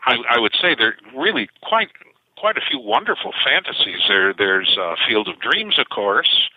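A man's voice: medium pitch, very animated, moderate pace, gravelly, no room sound. An older man, a science fiction author being interviewed, telling stories animatedly with frequent restarts. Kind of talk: interview over the phone. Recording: tinny phone line, dry.

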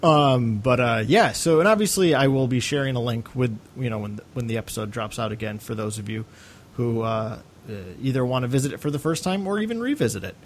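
A man's voice: medium pitch, lively intonation, fairly quick, a little hiss or hum. A man co-hosting a podcast in casual, rambling, hesitant chat. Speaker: podcast co-host.

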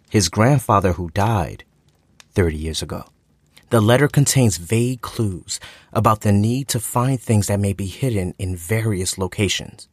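A man's voice: fairly low pitch, dry recording. A man announcing a scripted trailer for a fiction podcast. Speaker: promo announcer.

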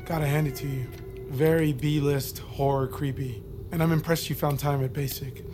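An actor playing a dry, measured doctor who probes and questions a military prisoner in an audio drama. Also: mid-range voice, fairly even intonation, music in the background, lightly acted. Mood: dry, skeptical. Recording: clear noisy ambience behind voice.

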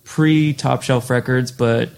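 Man, 30s, gravelly low-key guest, loose rambling delivery. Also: takes it slowly. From Western Massachusetts, US. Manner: relaxed, rambling, many "like" fillers. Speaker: record label co-founder, guest. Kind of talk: casual interview chat.

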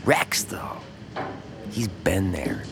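A man's voice: deep voice, very animated, drawn-out words, creaky, background noise, exaggerated voice. A man playing a lonely, withdrawn, apologetic small-town man in an audio drama, speaking in a hesitant, evasive way. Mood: earnest, obsessed. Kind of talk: naturalistic audio drama dialogue.

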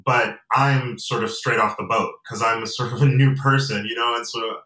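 A young man from Atlanta and Nigeria, a guest in a casual interview, talking reflectively with many pauses and fillers. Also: mid-range voice, fairly even intonation, pushed and bright, recorded from a distance. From United States and Nigeria. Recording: roomy and off-mic.

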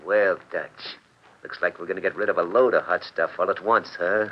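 Man acting a low-voiced tough racketeer boss. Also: steady tone, moderate pace, a little hiss or hum, lightly acted. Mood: bossy, pleased. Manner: slangy, bossy gangster talk. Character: tough male racketeer boss, greedy and gruff. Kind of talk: 1940s radio crime acting. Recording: muffled old 1940s radio broadcast.

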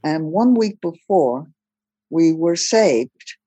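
An older woman from Belgium, recounting her life story as a podcast guest in a measured, plain-spoken way. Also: deep voice, lively intonation, dark tone, slightly rough, no room sound. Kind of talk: personal storytelling in a podcast interview.

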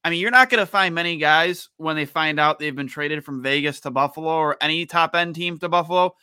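A man from Western New York co-hosting a casual hockey podcast, talking in a halting way and repeating words as he builds his point. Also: on the high side, sharp tone, clean tone, no echo, clean studio sound. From Western New York, United States. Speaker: sports podcast co-host.

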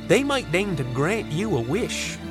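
Folksy, haunted storyteller over music. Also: male voice, high-pitched, sing-song. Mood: wistful. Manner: suspenseful, deliberate storyteller with folksy turns of phrase. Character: folksy old storytelling grandfather, haunted and reverent about fairies. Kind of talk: dramatic first-person narration on a recorded tape.

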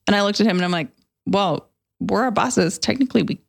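A woman's voice: mid-range voice, brisk, gravelly. Casual, self-deprecating woman co-host. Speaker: podcast co-host. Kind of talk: casual conversational podcast chat.

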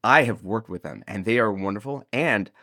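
A man's voice: lowish voice, moderate pace, sharp tone, no echo, clean studio sound. A man being interviewed as an audio drama creator, chatting casually in a rambling, jokey way that often interrupts itself. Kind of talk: casual, animated interview chat.

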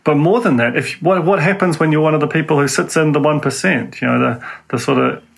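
A man with a New Zealand accent hosting an interview podcast, talking in halting bursts with many restarts. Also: on the high side, vocal fry, breathy edge. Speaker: podcast host.

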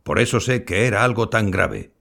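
A kindly old grandfather in an audio drama, reflective and modest. His voice sits low with a gravelly edge and rises and falls expressively as he explains things in measured phrases. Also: lively intonation, a bit bright, full character voice. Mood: earnest, reflective. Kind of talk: scripted audio fiction acting.

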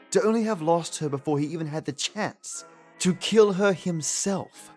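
A man hosting a podcast with chatty, playful, slightly theatrical commentary. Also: on the high side. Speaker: podcast host. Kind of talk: chatty commentary on old radio stories.

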